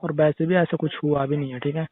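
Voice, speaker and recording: A man's voice: on the high side, steady tone, fast talker, no echo. A young man chatting casually as a boyfriend on a phone call, insistent and full of tag questions. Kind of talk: casual relationship phone chat. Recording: narrow-band tinny phone call.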